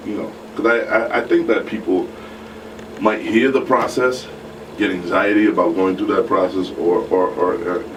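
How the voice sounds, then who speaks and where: Deep man, halting, noisy distant mic. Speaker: radio talk show co-host. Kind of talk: casual live radio talk.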